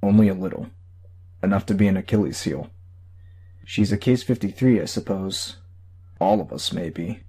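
A secretive superhero voiced by a male narrator explains things matter-of-factly in an audiobook story. The voice is low, mellow and husky. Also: normal speed, pauses often. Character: secretive, matter-of-fact superhero in a borrowed body.

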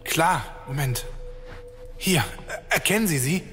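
A man's voice: fairly high pitch, animated, measured pace, fairly bright tone, faint music behind. A man playing a nervous young man who stammers and hesitates. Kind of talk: audio drama acting.

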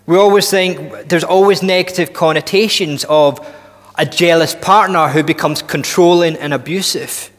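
A man in his thirties preaching a sermon in a church hall on a headset mic, earnest and deliberate, often restarting and building emphasis. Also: fairly high pitch, a bit bright. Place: church hall with long reverb.

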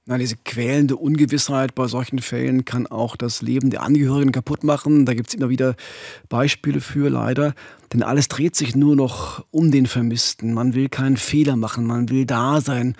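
A man's voice: medium pitch, fairly even intonation, normal speed, a little mellow, no room sound. A man in his fifties with a standard German accent, co-hosting a true-crime podcast on a close studio microphone. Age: 50s. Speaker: podcast co-host. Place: small indoor recording studio. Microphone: close studio microphone on boom arm. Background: music.

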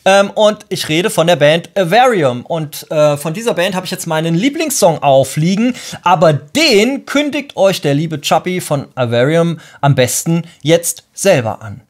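A man in his forties hosting a casual radio show from a home music studio, chatty and lively. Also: fairly high pitch, measured pace, hardly any pauses.

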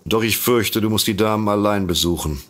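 An actor playing a dry, unimpressed, businesslike detective in a comedic audio drama, deadpan and sardonic. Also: male voice, fairly low pitch, brisk, lightly acted. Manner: deadpan, sardonic, matter-of-fact.

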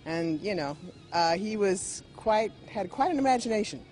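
A woman's voice: mid-range voice, lively intonation, normal speed, darkish tone, faint music behind. A middle-aged woman museum guide answering a TV reporter's questions in a friendly, explanatory way inside a large hall. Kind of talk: interview answers. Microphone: reporter's handheld mic.

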